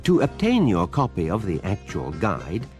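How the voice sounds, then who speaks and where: A man's voice: low-pitched, wildly swooping pitch, moderate pace, a little mellow. A man playing an urbane, wry narrator, delivering formal scripted narration for a radio comedy. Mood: wry, measured. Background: music.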